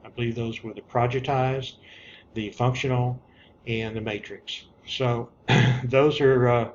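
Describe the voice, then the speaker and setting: A man's voice: medium pitch, flat delivery, unhurried. A man giving an informal, plainspoken walkthrough as an online course instructor, repeating key points in an instructive way. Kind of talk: informal screen-share walkthrough of an assignment. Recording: dull lo-fi audio with background noise.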